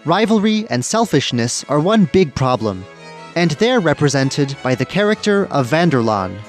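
A man's voice: fairly high pitch, big pitch swings, moderate pace, soft background music. A man hosting a history radio program, reading scripted narration and putting questions to the listener.

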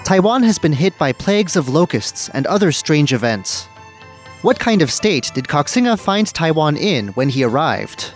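A man narrates a radio documentary as its host, in a fairly high voice with very lively pitch movement. His delivery is scripted and presentational, with soft music underneath. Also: normal speed. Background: background music.

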